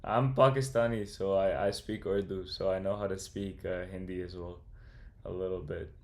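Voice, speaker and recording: A young man with a low voice and a gravelly, creaky edge, talking in an easygoing, slangy way. He thinks aloud through a coding problem and reads out viewer comments as a casual livestreamer. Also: steady tone, normal speed. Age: young adult. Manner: laid-back, slangy, calls viewers bro, thinks aloud. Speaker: streamer and computer science student.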